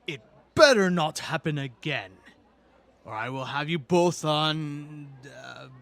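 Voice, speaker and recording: Man playing a pompous, slow, swooping priest. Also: fairly high pitch, expressive, gravelly, a bit bright, heavily acted. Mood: pompous scolding. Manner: pompous, blustering, stumbling over words. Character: young hero posing as pompous scolding high priest. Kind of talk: fan audio drama acting.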